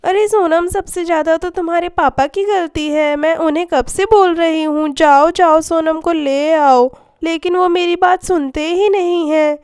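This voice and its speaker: A woman's voice: very high voice, unhurried, few pauses, darkish tone. A woman voicing an exasperated mother in a children's story, scolding and nagging.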